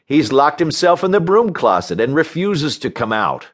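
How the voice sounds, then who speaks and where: A man acts out an indignant male research doctor from a comic audiobook. He speaks in a raised, insistent pitch with pompous, biting sarcasm. His delivery is animated and aggrieved. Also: normal speed. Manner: snarling, indignant, pompous wording. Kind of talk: audiobook character voice in a comic story.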